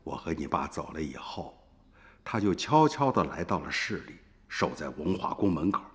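A man acting a stern, anguished older uncle in a radio drama, speaking emphatically with drawn-out emotion. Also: very deep voice, big pitch swings. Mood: grave recounting. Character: stern, anguished older uncle scolding and narrating past events. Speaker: storyteller character in radio drama. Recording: long studio reverb, music underneath.

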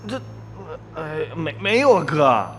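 Young rural man: high, reverberant, nervous and pleading, with a whiny stammer for radio drama. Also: sing-song, a little slow, a bit bright. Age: young adult. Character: flustered, pleading young village man, cowed and whining.

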